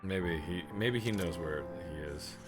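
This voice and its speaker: A man streaming a video game live, chatting in a rambling way and clearing his throat often. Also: low-pitched, a little slow. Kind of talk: live let's play commentary. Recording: game music clearly audible underneath. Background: game music.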